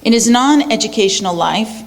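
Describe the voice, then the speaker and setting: A woman's voice: a little high, lively intonation, moderate pace, creaky. Upbeat woman moderator in a reverberant hall. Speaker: panel moderator. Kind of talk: reading a speaker introduction. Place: lecture hall.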